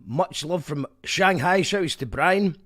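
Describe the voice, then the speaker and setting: A man's voice: a little high, slow, fairly bright tone. Chatty male radio host. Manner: chatty, enthusiastic. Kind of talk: casual radio chat, reading listener messages.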